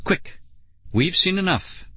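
Man doing a bossy, worried young girl, raised pitch. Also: expressive, slow pace, pushed and bright, slightly rough, dry recording, heavily acted. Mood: angry, insistent. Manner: insistent, warning. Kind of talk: children's audiobook character voice.

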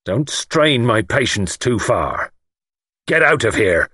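A man's voice: medium pitch, wildly swooping pitch, measured pace, slightly rough, dry recording, studio quality, pitched above the performer's own voice, exaggerated voice. Man voicing a pompous, menacing lord, swooping grandly. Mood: cold condescension. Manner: grandiose, condescending, softly threatening. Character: pompous, menacing lord convinced a higher power serves him. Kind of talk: audiobook character acting.

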